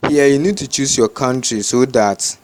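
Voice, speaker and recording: A man with a Ghanaian accent gives unscripted step-by-step instructions in a halting, repetitive way, as a tutorial host. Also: medium pitch, a little mellow, slight background noise.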